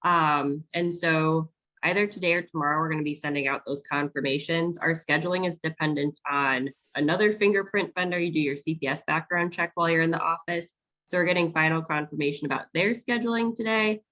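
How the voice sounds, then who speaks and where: A woman's voice: low-pitched, a little fast, fairly bright tone. A woman hosting a virtual town hall on a video call, explaining onboarding steps in a friendly, casual way with many ums. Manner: friendly, filler-heavy explaining. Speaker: onboarding staff host.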